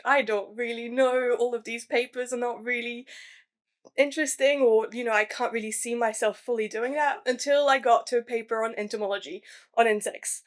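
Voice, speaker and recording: A young woman from New Caledonia, a museum science communicator chatting enthusiastically about her career, with frequent fillers. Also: fairly high pitch. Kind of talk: casual career talk.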